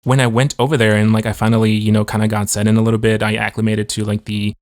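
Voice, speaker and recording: A man's voice: on the low side, level tone, darkish tone, dry recording, studio quality. A young man hosting a podcast, chatting casually and rambling with lots of fillers. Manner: rapid, rambling, heavy "like" fillers. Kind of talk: casual conversation.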